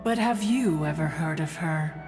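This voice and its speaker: Proud immortal game character, super formal and old-timey, roomy reverb, music behind. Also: female voice, deep voice, measured pace, a little mellow. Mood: stately, nostalgic. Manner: formal, archaic, refers to self as "one". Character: proud immortal female adeptus, stately, haughty and nostalgic. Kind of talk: scripted game dialogue, storytelling.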